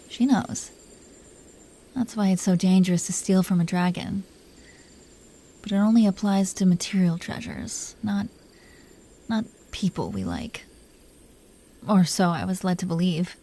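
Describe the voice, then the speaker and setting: A woman voicing a protective, smitten dragon queen in an intimate romantic audio roleplay, speaking softly and breathily with an affectionate, teasing manner, often near a whisper. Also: mid-range voice. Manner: affectionate, teasing, slow confiding delivery.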